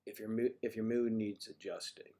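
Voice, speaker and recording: Hoarse older man joking with viewers. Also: on the low side, fairly even intonation, normal speed. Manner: teasing and flirty, often drops to a soft mutter. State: hoarse, losing his voice. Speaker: livestream host. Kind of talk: casual livestream chat with viewers.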